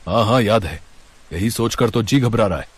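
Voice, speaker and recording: A man's voice: fairly low pitch, animated, normal speed, breathy edge. A man playing a worried, scolding older father in a cartoon.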